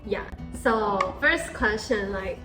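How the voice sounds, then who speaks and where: A young woman from Taiwan whose first language is Mandarin hosts a relaxed Q&A in English, dotting her sentences with "like" and loose restarts. Her voice sits mid-range and rings in an echoey room with music underneath. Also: mid-range voice, slow. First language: Mandarin Chinese. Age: 20s. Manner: casual, many "like" fillers, switches between Mandarin and English. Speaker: host. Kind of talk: casual Q&A chat.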